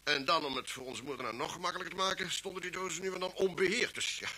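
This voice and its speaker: Sharp, forceful, hot-headed police inspector. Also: male voice, a little high, steady tone, quick pace, slightly rough, full character voice, low-quality recording. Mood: frustrated. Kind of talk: vintage Dutch radio drama acting. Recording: hissy old radio recording.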